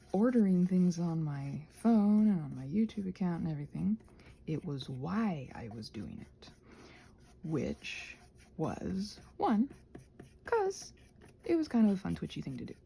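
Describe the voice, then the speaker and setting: A woman chatting casually and playfully while crafting, often interrupting herself with trailing asides, her voice breathy and often dropping to a near-whisper. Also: on the low side, warm tone. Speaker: craft and ASMR video creator. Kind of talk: casual rambling vlog chat while crafting.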